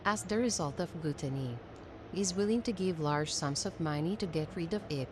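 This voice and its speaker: A woman reading an old-fashioned essay aloud as narration, in formal, measured phrasing. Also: lowish voice, background noise. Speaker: reader of a self-help text.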